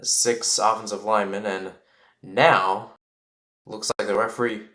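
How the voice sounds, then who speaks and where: A man's voice: fairly low pitch, level tone, a little slow, a bit bright. A man giving live American football play-by-play, talking conversationally and thinking aloud between plays. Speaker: play-by-play sports commentator. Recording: roomy, echoing sound.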